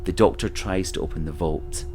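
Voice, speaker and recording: A man's voice: low-pitched, normal speed, darkish tone, soft background music. A man reading scripted present-tense narration for an audio drama.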